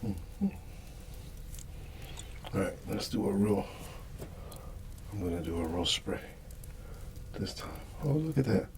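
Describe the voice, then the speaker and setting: A middle-aged man hosting an ASMR video, rambling casually and softly with a hesitant delivery. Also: low voice, big pitch swings, slow pace, long pauses, slight background noise. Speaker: ASMR channel host.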